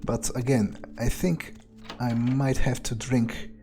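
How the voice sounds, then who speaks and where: A man narrating a video game in character, thinking aloud in an understated, musing way with frequent pauses. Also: medium pitch, flat delivery, dark tone, soft background music. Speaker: gamer playing in character.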